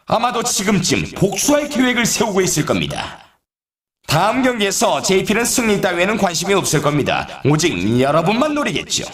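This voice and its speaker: A man playing an excitable, teasing snowboard race announcer in a video game, delivering dramatic mock warnings as scripted commentary. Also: high-pitched, roomy sound, recorded from a distance.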